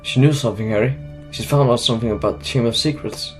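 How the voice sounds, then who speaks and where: A man's voice: mid-range voice, fast talker, soft background music, close to an everyday voice. A man playing an upset, earnest teenage schoolboy in an audio drama. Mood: distraught, urgent.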